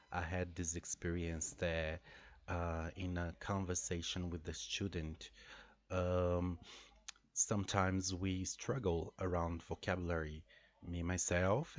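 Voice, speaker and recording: A Brazilian man with a Portuguese accent, an English teacher, talking through a class story with many pauses and restarts. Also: sits low, no echo. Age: adult. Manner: hesitant, many fillers and restarts. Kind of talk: reflective solo talk.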